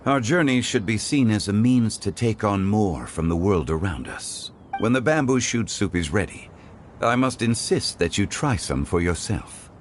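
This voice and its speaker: An actor playing a calm, scholarly gentleman consultant in a video game, speaking in formal, measured, courtly phrases. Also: lowish voice, slight background noise, natural voice. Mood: composed, wise. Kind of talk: scripted video game character dialogue.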